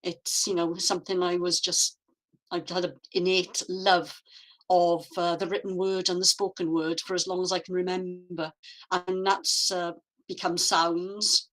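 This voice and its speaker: A woman from Wales, a guest artist, answering interview questions in a reflective, measured way with frequent fillers, over a video call. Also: on the low side, flat delivery, fairly bright tone, rough recording.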